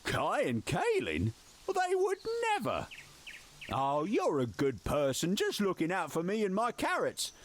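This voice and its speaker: A whimsical gardener creature from a fantasy video game. The voice is high and leaps all over in a sing-song, jovial way. It sounds homely, eccentric and good-hearted. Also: male voice, huge pitch swings, unhurried, slight background noise, full character voice. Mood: warm, musing.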